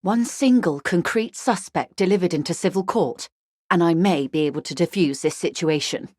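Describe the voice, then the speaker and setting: A shrewd corporate negotiator in a video game, with a lowish female voice recorded close and dry. She is composed and articulate, choosing formal words with grave, frank urgency. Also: darkish tone, dry recording. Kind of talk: scripted video game character dialogue.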